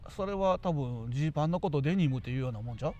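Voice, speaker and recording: A man's voice: fairly high pitch, lively intonation, racing, mellow, dull audio. A man with a Kansai Japanese accent, a radio co-host rambling casually in a colloquial, musing way. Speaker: radio talk show co-host.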